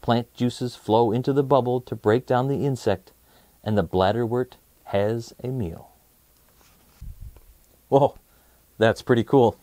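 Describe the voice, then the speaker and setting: A man in his thirties hosting a children's read-aloud, presenting nonfiction in a deliberate, emphatic storytelling way. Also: medium pitch, mellow, no room sound.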